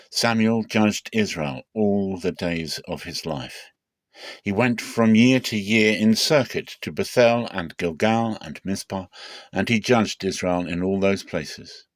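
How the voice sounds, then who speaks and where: Low-voiced man narrating scripture solemnly. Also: no echo. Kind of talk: dramatic scripture reading.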